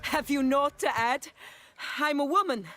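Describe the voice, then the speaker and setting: A woman's voice: sits high, measured pace, noisy background. An actress playing a proud, challenging female knight in a fantasy video game, speaking in formal archaic phrases.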